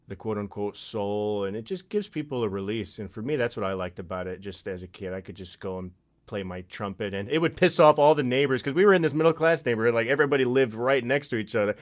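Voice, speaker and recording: A man in his forties co-hosting a casual livestream chat, telling lively stories and acting out the voices of people he quotes, over a home microphone on a video call. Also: lowish voice. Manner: animated storyteller, acts out quoted voices. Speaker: livestream co-host.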